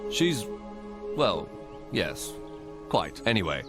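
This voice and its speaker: An actor playing a courteous, steady officer in a fantasy video game, speaking in a measured, matter-of-fact way. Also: male voice, low voice, exaggerated voice. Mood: measured advice. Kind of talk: video game cutscene acting. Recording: game music under the voice.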